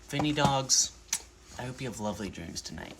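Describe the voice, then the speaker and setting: A man reading a children's storybook aloud in an animated narration style, as a bedtime story livestreamer. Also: medium pitch, brisk, background noise.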